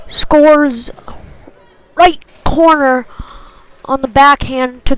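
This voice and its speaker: A woman with a high voice gives live hockey play-by-play. She speaks at a slow pace, in short, clipped phrases that track the play as it moves. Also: a bit bright, low-quality recording. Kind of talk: live sports commentary.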